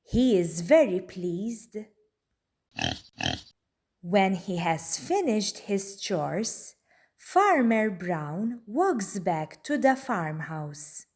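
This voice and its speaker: A woman narrates a picture book for young children. She takes it very slowly, with plenty of lift and fall in her voice. Her delivery is deliberate and expressive, at a middle pitch. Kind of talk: read-aloud storybook narration.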